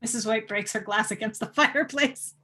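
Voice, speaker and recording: A woman's voice: fairly high pitch, brisk, bright, forceful tone. A woman reading stage directions as scripted narration at a table read.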